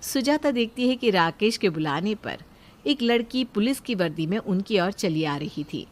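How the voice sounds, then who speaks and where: A woman's voice: mid-range voice, animated, normal speed. Female narrator for a scripted moral story, mid-range voice.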